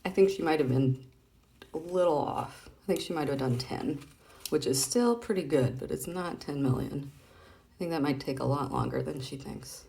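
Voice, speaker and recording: A woman's voice: low voice, animated, moderate pace, vocal fry, mellow. A woman hosting a casual read-aloud of comic strips, chatting playfully and expressively as she explains things directly to a child.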